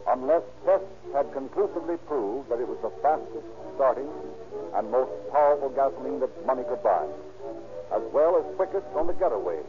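A man's voice: mid-range voice, animated, brisk, music in the background. A man announcing and narrating a 1930s radio program in a formal, emphatic scripted delivery on a tinny old recording.

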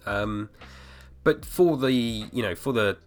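A man in his thirties hosting a podcast alone, slightly hoarse, rambling with fillers and restarts. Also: on the low side, slow pace, soft background music. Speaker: podcast host. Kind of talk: casual solo podcast talk.

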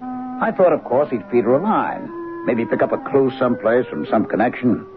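An actor playing a blunt, authoritative police captain in an old-time radio drama, questioning and commanding. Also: male voice, medium pitch, very animated, normal speed, music in the background, lightly acted. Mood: wry, confiding. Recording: muffled, noisy old radio broadcast recording.

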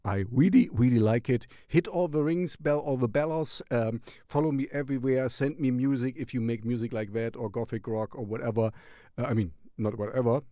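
A man's voice: mid-range voice, warm tone, no room sound, studio quality. A man hosting a music recommendation show in a casual, rambling, playful and distracted way. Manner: playful, distracted, halting delivery.

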